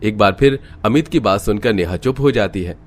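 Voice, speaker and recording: A man's voice: on the low side, fairly quick, faint music behind. A man reading scripted narration for a cartoon story.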